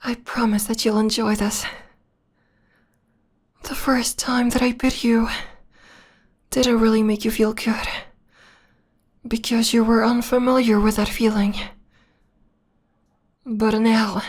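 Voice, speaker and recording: Breathy, slow female vampire lover, acted by a woman. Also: on the high side, little pitch movement. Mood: coaxing, tender. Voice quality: breathy, often whispering. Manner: soft, slow, coaxing. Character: possessive, obsessed female vampire lover, seductive and doting. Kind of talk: ASMR roleplay, intimate and slow.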